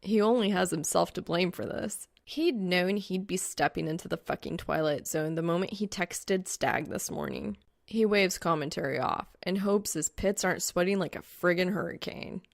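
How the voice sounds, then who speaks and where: Creaky-voiced woman narrating a story. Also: fairly low pitch, normal speed, dry recording, studio quality. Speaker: audiobook reader of fan fiction.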